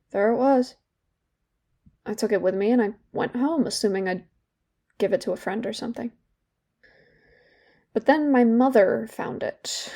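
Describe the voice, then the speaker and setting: An actress playing a young woman in therapy in an audio drama, bitter and regretful, confiding in a halting, sardonic, conversational way. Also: on the high side, warm tone. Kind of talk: naturalistic audio drama monologue.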